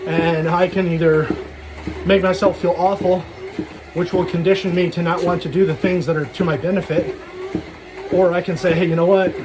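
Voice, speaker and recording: A man's voice: high voice, level tone, moderate pace, noisy background. A man giving a casual, rambling solo vlog monologue in frank, slangy, sweary talk. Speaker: solo vlogger.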